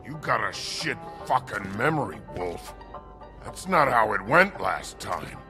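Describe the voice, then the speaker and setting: A man's voice: lowish voice, big pitch swings, a little slow, bright, forceful tone, soft background music, full character voice. A man voicing a drunken, brutish woodsman in a video game, snarling, belligerent and foul-mouthed. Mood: belligerent taunting. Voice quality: gravelly.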